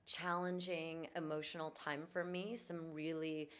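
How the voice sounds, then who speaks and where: Lowish woman's voice, yoga teacher telling her story, earnest and reflective. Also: level tone, unhurried, a bit bright, clear, resonant tone. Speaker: guest, yoga teacher and vocal coach. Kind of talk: conversational interview. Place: in Bali.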